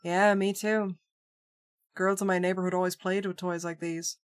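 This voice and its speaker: A woman reading a visual novel aloud in her own voice, voicing a calm, protective young man with a plain, reassuring delivery. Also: lowish voice, normal speed, clean tone, natural voice.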